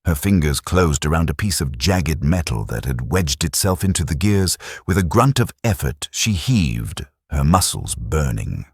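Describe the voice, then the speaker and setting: A man's voice: extremely low pitch, big pitch swings, measured pace, hardly any pauses, husky, no echo, clean studio sound. A man narrating a science fiction audiobook with dramatic storytelling delivery. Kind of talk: scripted sci-fi narration.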